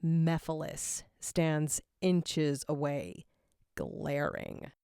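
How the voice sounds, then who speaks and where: A woman's voice: sits low, flat delivery, very slow, mellow, clean tone, dry recording, clean studio sound. A woman reading scripted fiction aloud as a dramatic narrator.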